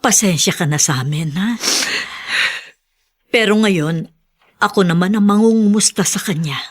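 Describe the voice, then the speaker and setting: A woman acting a gentle, sympathetic adult daughter in a radio drama, with a soothing, caring way of speaking. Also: mid-range voice, moderate pace, natural voice. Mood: consoling.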